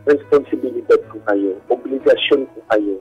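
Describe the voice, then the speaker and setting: A middle-aged man talks about himself as a guest on a radio show. He is polite and drops English phrases into his sentences. Soft music runs behind his voice. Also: medium pitch, moderate pace, lo-fi sound. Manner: polite, uses respectful markers, mixes in English. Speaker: guest caller, bar comedian. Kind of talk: personal story told in a radio interview. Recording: music bed under radio talk.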